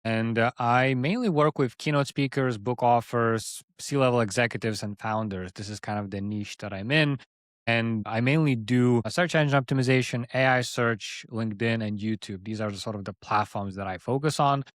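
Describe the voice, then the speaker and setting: A man's voice: mid-range voice, normal speed, no room sound, studio quality. A man in his thirties talking casually as an interview guest, often rephrasing mid-sentence as he explains himself. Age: 30s. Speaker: interview guest, agency founder.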